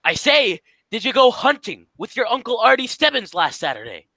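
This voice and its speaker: A woman's voice: a little high, very animated, normal speed, sharp tone, dry recording, full character voice. A woman playing a blustery, exasperated male straight man in an old-time radio comedy, scolding and repeating phrases emphatically. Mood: impatient.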